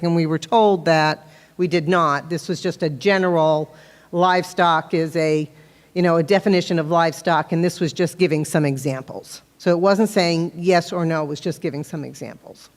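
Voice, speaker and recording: A woman explaining a town bylaw at a public meeting, speaking loudly and earnestly into a handheld microphone. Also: on the low side, steady tone, moderate pace. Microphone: handheld microphone, sometimes held off-axis. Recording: long reverb of a meeting hall.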